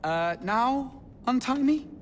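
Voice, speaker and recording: An actor playing a cheeky, breezy rogue in a game cutscene, jaunty and mock-polite. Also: male voice, very high voice, lively intonation, takes it slowly, a little hiss or hum, full character voice. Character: cheeky, breezy male Cockney rogue, ingratiating chancer. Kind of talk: video game cutscene acting.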